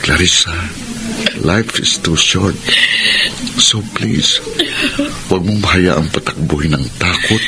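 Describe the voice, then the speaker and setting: A man's voice: low-pitched, takes it slowly, gravelly, long pauses, noisy background, full character voice. An actor playing a sincere, devoted man in love in a romantic Filipino radio drama. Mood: tender, pleading. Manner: tender, earnest. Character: devoted, sincere male suitor, later loving husband.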